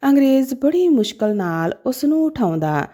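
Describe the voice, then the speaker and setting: A woman's voice: sits high, big pitch swings, normal speed, dark tone. A woman narrating a scripted story in a dramatic, emotive manner.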